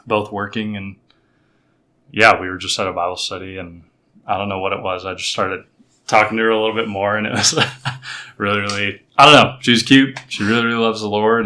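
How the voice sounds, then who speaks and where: A young man with a low voice and a bright, forceful edge to it. He tells his story casually, pausing often and filling gaps with small words. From Colorado. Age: 20s. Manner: halting, many fillers and restarts, laughs easily. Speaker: podcast guest.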